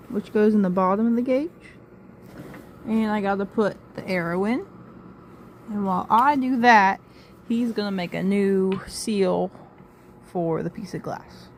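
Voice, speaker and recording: A woman's voice: mid-range voice, animated, unhurried, pauses often, slight background noise. A woman giving an informal step-by-step demonstration, thinking aloud as she works with her hands. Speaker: co-host demonstrating assembly.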